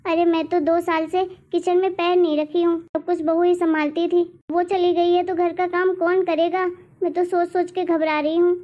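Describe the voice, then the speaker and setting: A very high-pitched older mother-in-law from a family cartoon, acted out by a woman. She frets and nags in a complaining way, and the sound is slightly dull and muffled. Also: little pitch movement, moderate pace. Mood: worried. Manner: fretful, nagging. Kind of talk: Hindi cartoon family drama voice acting.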